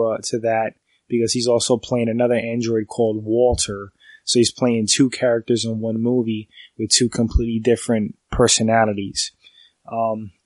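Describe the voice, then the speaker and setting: A man talks through a film review for his podcast, his nose stuffed up from a cold. He rambles in a casual way, restarting sentences and leaning on fillers. His delivery stays fairly flat, and the sound is close and dry with no room echo. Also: medium pitch. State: congested from a cold.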